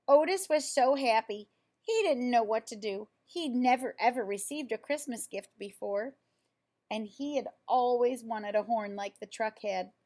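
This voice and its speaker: Female storybook reader, higher register, expressive and emphatic delivery for a young audience. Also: a little slow. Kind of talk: read-aloud children's story with dramatic emphasis.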